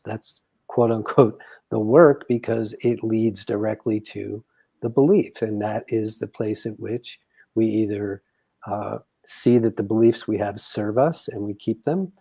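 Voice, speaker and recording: A man in a reflective coaching conversation, explaining things in measured, deliberate phrases. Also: on the low side, warm tone, breathy edge.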